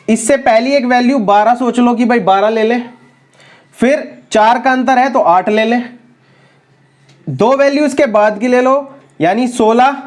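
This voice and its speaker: A man with a sore throat teaching an exam-prep math lesson, working through problems step by step and addressing students as friends. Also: very high voice.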